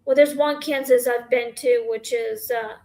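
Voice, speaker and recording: Scratchy-voiced woman rambling slowly. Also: fairly high pitch, fairly even intonation, darkish tone. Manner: rambling, halting, many fillers. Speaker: podcast host. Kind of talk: casual Q&A chat. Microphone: phone.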